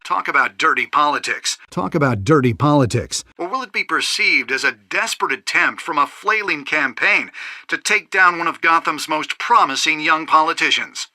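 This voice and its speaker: A man's voice: on the high side, expressive, normal speed, bright, forceful tone, no echo, full character voice. A man voicing a glib, polished television news presenter in a video game, with wry emphasis. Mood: pointed. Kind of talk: broadcast news reading, video game acting.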